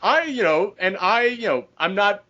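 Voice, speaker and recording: Young male podcaster, lively intonation, casual film chat, slightly muffled lo-fi sound. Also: high-pitched, normal speed. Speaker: podcast member. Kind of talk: casual chat about films. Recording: dull lo-fi podcast audio.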